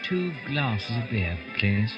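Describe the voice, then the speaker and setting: A man acts a brisk radio-play detective who has no patience for sentiment. His pitch is animated and swings widely as he gives orders and reasons aloud. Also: muffled recording, over music. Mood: brisk, urgent. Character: brisk, impatient, commanding male detective, all business. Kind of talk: radio drama acting.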